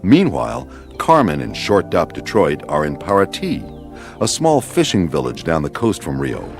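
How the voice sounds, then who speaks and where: Very deep-voiced serial narrator. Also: male voice, huge pitch swings, normal speed, vocal fry, exaggerated voice. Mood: measured, expository. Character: storytelling announcer of an exotic adventure serial. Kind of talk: scripted radio serial narration. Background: music.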